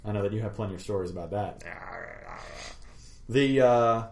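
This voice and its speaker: A man's voice: fairly low pitch, normal speed, darkish tone. A young man telling a loose, rambling story about an old tabletop campaign. He fills his speech with ums and little laughs. The sound carries the echo of a roomy room. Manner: rambling, chuckling, many fillers. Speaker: podcast co-host.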